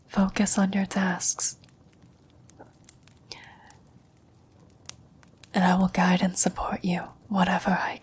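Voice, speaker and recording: A woman playing a gentle, wise, dying old woman in a whispered, breathy, solemn ASMR roleplay. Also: lowish voice. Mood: resigned, tender. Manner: slow, solemn, measured delivery.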